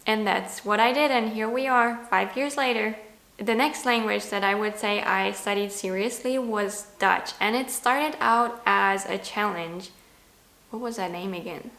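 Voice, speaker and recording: A woman's voice: mid-range voice, creaky, fairly bright tone, reverberant. A woman in her twenties from Germany with a German accent, chatting candidly and self-deprecatingly to camera about learning languages. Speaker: language vlogger. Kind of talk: casual talking-to-camera vlog.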